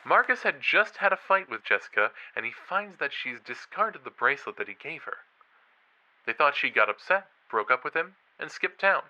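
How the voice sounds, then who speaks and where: A man's voice: on the high side, sing-song, moderate pace, pushed and bright, muffled recording, full character voice. A man playing an eager college student and true crime podcaster, telling stories in a dramatic, playful way in an audio drama. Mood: animated, conversational. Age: young adult. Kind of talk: audio drama acting, storytelling.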